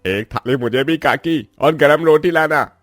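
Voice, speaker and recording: A man's voice: a little high, a little slow, dry recording, soft background music, natural voice. Male actor as chatty roadside customer, hearty, appreciative, cartoon acting, dry sound. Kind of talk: animated cartoon story acting.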